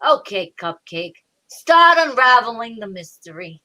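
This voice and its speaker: Woman playing a bright, lively, bossy male police detective. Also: a little high, measured pace, exaggerated voice. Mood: dry, fond. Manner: blustering, slangy tough-cop talk. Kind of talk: old-time detective radio drama.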